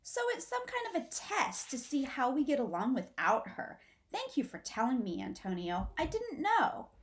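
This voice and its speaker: Lady doing a dramatic little boy possum from a storybook, high voice, anxious but hopeful. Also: wildly swooping pitch, moderate pace. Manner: dramatic, stagey. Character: theatrical young male possum, anxious and hopeful.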